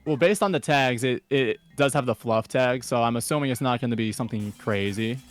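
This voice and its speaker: A man's voice: mid-range voice, brisk. A man co-hosting a story reading show, moving between casual chat and narration. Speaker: story reader and co-host. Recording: music playing underneath. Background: music.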